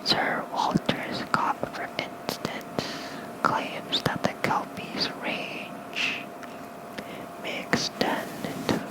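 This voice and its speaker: An ASMR creator softly reading folklore aloud in a hushed, unhurried bedtime whisper. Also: low-quality recording.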